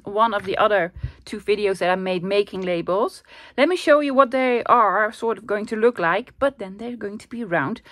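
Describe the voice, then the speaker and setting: A woman's voice: medium pitch, fairly bright tone, no room sound. A woman from the Netherlands with a Dutch accent, hosting a casual crafting tutorial in a chatty, self-deprecating way, slightly under the weather with a cold. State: coming down with a cold, throat clearing. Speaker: crafting channel host.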